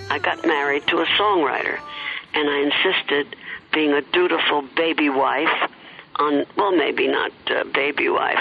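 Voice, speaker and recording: An older woman, a former singer, looking back on her career in a hesitant interview full of pauses. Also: sits low, creaky, lo-fi sound. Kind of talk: reflective interview recollection.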